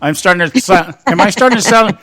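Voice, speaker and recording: A man's voice: sits high, expressive, fast talker, a bit bright. A man hosting a talk radio show, bantering casually and laughing often.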